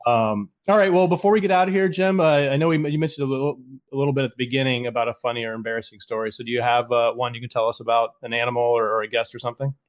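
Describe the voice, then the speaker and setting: Fast-talking man in his late thirties. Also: medium pitch, fairly even intonation. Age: 30s. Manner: quick, chatty, lots of "like" fillers. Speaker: podcast host, zookeeper. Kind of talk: casual interview chat.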